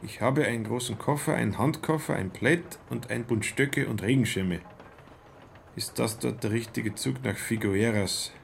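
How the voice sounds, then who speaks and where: A man's voice: mid-range voice, normal speed, vocal fry, slight background noise, natural voice. An actor playing a self-important young German salesman in a radio play, with stilted, over-correct speech. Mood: studious, reciting. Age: young adult.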